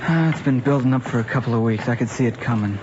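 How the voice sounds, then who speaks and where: A man's voice: medium pitch, fast, dull audio, close to an everyday voice. American lad of eighteen played by a man, mid-range voice, aggrieved and earnest, slangy radio drama, noisy old recording. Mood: resigned, sulky. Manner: plain, slangy, protesting. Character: aggrieved, earnest eighteen-year-old son losing his motorcycle.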